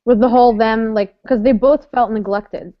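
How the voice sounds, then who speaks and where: A woman's voice: a little high, fairly even intonation, moderate pace. A woman in her early twenties hosting a casual online book club, chatting and reading viewer comments aloud. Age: 20s. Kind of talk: casual livestream chat. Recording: dry dull lo-fi webcam audio.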